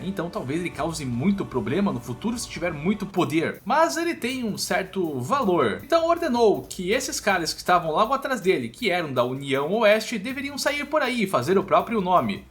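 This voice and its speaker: Man, Brazilian accent, high-pitched, very animated intonation, scripted story narration, colloquial dramatic delivery, faint background music. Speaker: recap narrator.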